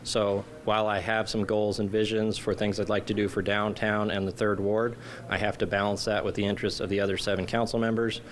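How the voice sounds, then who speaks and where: A man running for city council, speaking in a measured, plain-spoken way in a news interview. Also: lowish voice, flat delivery.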